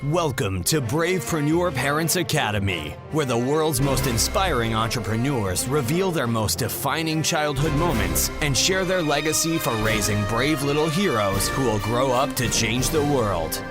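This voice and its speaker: A male announcer delivering a scripted podcast opener with dramatic flair, his pitch swinging widely. Loud music plays under his voice throughout. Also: expressive, moderate pace, few pauses. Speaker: show announcer. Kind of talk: scripted promo intro.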